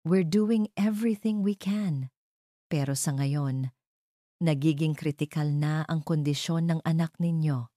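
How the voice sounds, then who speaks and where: Casting: female narrator as weary hospital doctor, grave, measured, low mellow voice for audio drama. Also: no echo, studio quality. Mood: grave, tired. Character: weary, downcast doctor breaking bad news gently.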